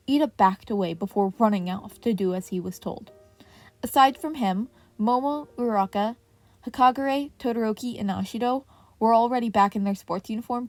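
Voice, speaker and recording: A woman's voice: mid-range voice, dry recording. A woman reading scripted fiction aloud as a podfic narrator. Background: soft piano music.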